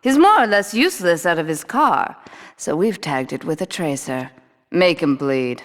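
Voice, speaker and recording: A woman's voice: fairly low pitch, expressive, moderate pace, natural voice. An actress playing a ruthless woman crime boss who gives orders in a cool, terse, mocking tone. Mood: cold.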